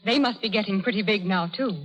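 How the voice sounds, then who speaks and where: An actress reading out a simple, warm-hearted old lumberjack's letter in broken English, in a vintage radio drama. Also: female voice, medium pitch, quick pace, dull audio, soft background music, close to an everyday voice. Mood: kindly. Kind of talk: 1930s radio drama acting.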